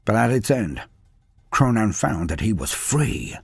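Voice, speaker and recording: A man's voice: lowish voice, sing-song, a little slow, creaky, husky. A man reading a fantasy story aloud with a dramatic delivery, his voice rising and falling through the telling. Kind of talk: scripted fantasy story narration.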